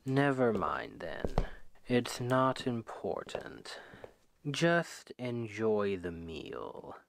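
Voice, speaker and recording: A man playing a suave, menacing, possessive demon king who woos his captive with slow, deliberate, softly condescending speech in an ASMR roleplay, close on the microphone. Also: mid-range voice, big pitch swings, vocal fry, noisy background, heavily acted. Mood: calm, possessive. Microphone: close microphone, dry.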